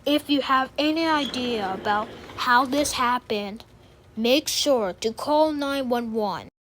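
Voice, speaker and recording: A female announcer delivers a scripted emergency alert in a high-pitched voice. Her reading is extremely slow and deliberate, with formal, instructional phrasing throughout. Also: a bit bright, slight background noise.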